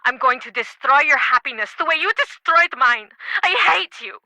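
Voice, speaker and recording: A woman's voice: high voice, animated, moderate pace, pushed and bright, no echo, exaggerated voice, rough recording. An actress playing a guarded, heartbroken townswoman with an earnest, emotional delivery in a mystery video game. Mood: angry, pleading. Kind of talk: dramatic video game voice acting.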